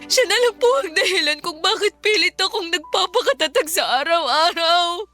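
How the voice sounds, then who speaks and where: Distraught mother, Filipino accent, crying, piercing high voice, radio drama, faint music behind. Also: animated, normal speed, pushed and bright, heavily acted. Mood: tearful despair. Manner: tearful, pleading. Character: grieving, desperate mother pleading with an elder.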